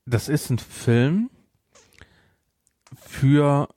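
A man with a German accent chatting casually about a film as a podcast host, with an animated, teasing manner. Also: mid-range voice, extremely slow, dry recording, clean studio sound. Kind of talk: casual chat, film discussion.

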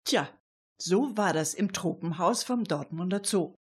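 A woman's voice: on the low side, animated, unhurried, husky. A woman telling a radio crime story in a colloquial storytelling style, as its narrator.

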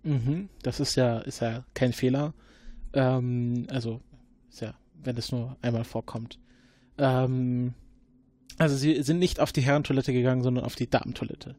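Casting: man as a stiff, hesitant investigator, mid-pitched, close and dry recording. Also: steady tone, dark tone, no echo, close to an everyday voice. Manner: halting, formal, many fillers. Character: formal male time-travel agent questioning a witness.

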